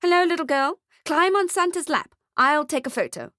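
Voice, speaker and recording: A woman's voice: high voice, lively intonation, moderate pace, sharp tone, no echo, studio quality, voice pushed up. A woman voicing a pushy, crude man who works as a mall photographer in a storybook, salesy and brusque.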